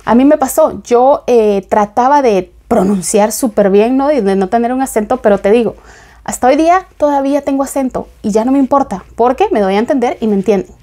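A woman, giving casual advice to camera in a small home room on a lapel mic, lively and gesturing as she talks. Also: fairly high pitch, a little fast. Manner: lively, gesturing with both hands, talks straight to the viewer. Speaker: vlogger.

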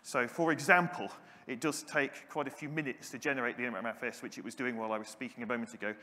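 A middle-aged man delivers a technical conference talk at an average pitch. He sounds keen and pokes fun at himself, filling pauses with ums. His voice carries the long reverb of a big hall. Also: fairly even intonation, pushed and bright. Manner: enthusiastic, self-deprecating, with frequent ums. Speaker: conference keynote speaker. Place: large conference hall stage.

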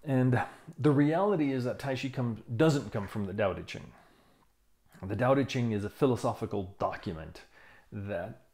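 A man in his fifties giving a casual explanation to camera, thoughtful and halting, stressing key words. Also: medium pitch, darkish tone. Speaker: tai chi teacher reviewing a book. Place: small room indoors. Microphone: lapel mic.

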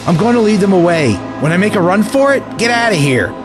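A man's voice: high-pitched, animated, quick pace, over music. A man playing a brave, earnest young soldier in a war audio drama. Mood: brave urgent. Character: brave young army private, earnest, self-sacrificing.

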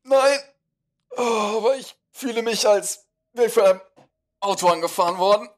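Ein Mann spricht einen panischen, jammernden Nörgler in einer Hörgeschichte. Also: male voice, sits high, moderate pace, pitched above the performer's own voice, close to an everyday voice. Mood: pained. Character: panicky whining man, a reluctant complainer. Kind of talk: audiobook character voice.

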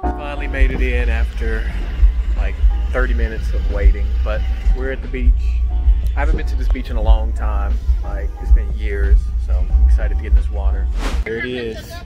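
A man's voice: fairly low pitch, fairly even intonation, moderate pace, highs cut off, over loud music. Young guy from vlogging, chatty and upbeat, just pumping people up to get out there. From Kentucky. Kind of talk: casual vlog talk to camera. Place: outdoors in sunny street and at beach. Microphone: handheld camera at arm's length.

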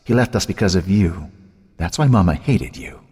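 A man's voice: low voice, animated, moderate pace, a little mellow, husky, long reverb tail. A man voicing a mocking, relentless dead woman who taunts venomously in an audiobook. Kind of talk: audiobook character voice.

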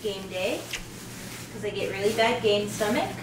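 A woman's voice: medium pitch, normal speed, heavy background noise. A young adult woman chatting casually and narrating a vlog in a laid-back, joking way. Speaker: pro soccer player.

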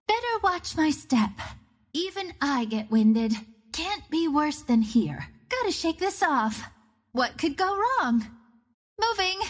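A woman's voice: high voice, big pitch swings, takes it slowly, heavily acted. A woman voicing a cocky, playful young archer of the wind in a video game, with a confident, teasing manner. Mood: brisk. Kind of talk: video game character voice lines.

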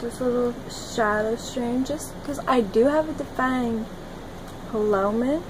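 A young woman talking to her phone camera in a small room, rambling dreamily and giggling, jumping between loud bursts and soft murmurs. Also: a little high, measured pace, noisy background. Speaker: vlogger. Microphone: phone camera at arm's length.

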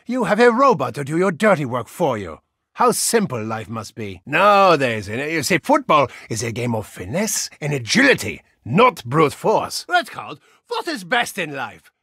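An actor playing a boisterous German soccer-hooligan brawler with a soft side, in a bombastic, comically theatrical video game style with a German accent. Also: male voice, on the high side, sing-song, normal speed, breathy edge, no echo, studio quality, heavily acted. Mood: blustering, chatty. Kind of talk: animated video game character lines.

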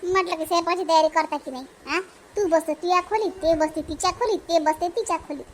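A woman's voice: extremely high pitch, fast talker, a little hiss or hum. A woman voicing a bossy older mother-in-law in a cartoon family comedy, nagging with a Vidarbha accent. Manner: nagging, rhetorical questioning.

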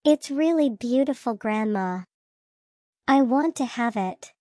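A woman's voice: a little high, expressive, slow, dark tone, dry recording, clean studio sound. A woman voicing an eager little girl in a cartoon story, childlike and pleading. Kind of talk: cartoon voice acting.

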